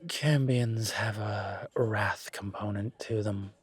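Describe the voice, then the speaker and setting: Man playing a slow, wry, low-voiced incubus. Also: steady tone. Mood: grave, explaining. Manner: measured, deliberate, wry, conversational. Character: composed, wry male incubus demon investigator, politely self-assured. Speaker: audio roleplay performer. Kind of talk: scripted one-sided roleplay audio drama.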